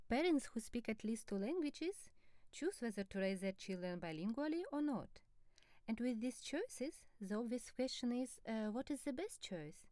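A woman speaking as an expert co-presenter in a scripted educational dialogue, measured, formal and explanatory. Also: on the high side, warm tone, no echo.

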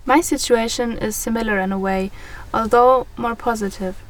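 A woman's voice: a little high, fairly even intonation, moderate pace, far from the mic, poor audio. A young woman from Germany reading a heartfelt scripted account. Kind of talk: read-aloud scripted conversation.

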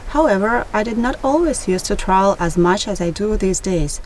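Young woman reading calmly. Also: medium pitch, moderate pace, a little hiss or hum, low-quality recording. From Russia. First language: Russian. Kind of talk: read-aloud scripted conversation.

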